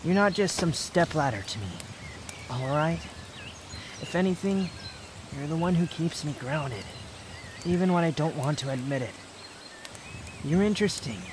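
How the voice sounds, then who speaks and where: A man's voice: fairly high pitch, animated, moderate pace, long pauses, background noise, close to an everyday voice. A man playing a proud, bitter young man, guarded but tender, in an intimate ASMR roleplay with brooding, curt, confessional delivery. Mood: defensive, grudgingly fond. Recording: outdoor nature ambience clearly behind voice.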